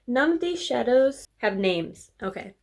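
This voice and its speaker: A young woman, slightly hoarse and congested, chatting casually through a makeup tutorial. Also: on the high side, sing-song, a little slow. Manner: chatty, upbeat, trailing off with fillers. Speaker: beauty vlogger.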